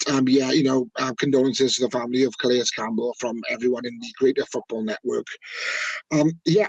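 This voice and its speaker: A man's voice: mid-range voice, slightly rough, no echo, rough recording. A man talking football casually as a podcast guest, rambling and enthusiastic with many fillers. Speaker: guest, team fan.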